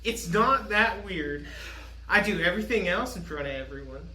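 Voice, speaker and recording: A man's voice: high-pitched, expressive, normal speed, sharp tone. A man co-hosting a podcast in casual banter, defending himself and telling dad jokes. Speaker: podcast co-host. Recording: echoey room with faint noise.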